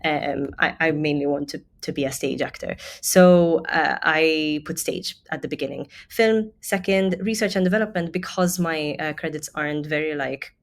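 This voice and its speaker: A woman with a Maltese accent giving a casual, chatty tutorial as an actor advising other actors, stressing her key words in an informal way. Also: deep voice. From Malta. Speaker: stage actor giving advice to self-represented actors.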